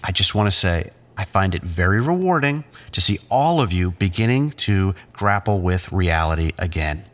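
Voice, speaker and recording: A man playing a controlling psychiatrist in an audio drama, speaking in a measured, clinical, patronising way. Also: sits low, animated, fairly bright tone. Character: condescending, controlling male psychiatrist leading group therapy.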